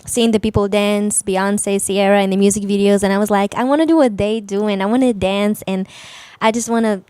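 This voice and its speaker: A woman's voice: mid-range voice, steady tone, fairly quick, darkish tone, clear, resonant tone, no room sound. A woman from Israel, a native Hebrew speaker, telling her own story casually as a podcast guest. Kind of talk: casual interview chat, personal storytelling.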